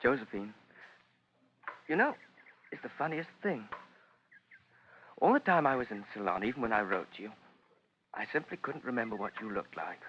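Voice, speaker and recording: A man's voice: mid-range voice, sing-song, moderate pace, long pauses, slight background noise, natural voice. An actor playing a diffident, insecure young British man in his twenties in a vintage radio drama, speaking in a hesitant, apologetic way. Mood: wistful. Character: diffident, insecure young Englishman, the family failure. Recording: dull vintage radio recording.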